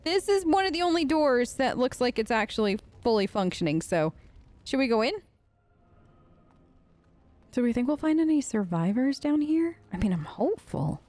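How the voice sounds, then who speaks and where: High voiced girl doing a lets play, super animated, chatty and playful. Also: moderate pace, no room sound. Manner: playful, chatty, talks to game characters. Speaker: gamer playing in character. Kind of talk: role-play let's play commentary.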